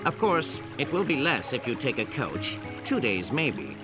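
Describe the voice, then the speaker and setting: A man playing a haughty, dismissive butler in an animated children's story. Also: medium pitch, a little slow. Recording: music behind the voice.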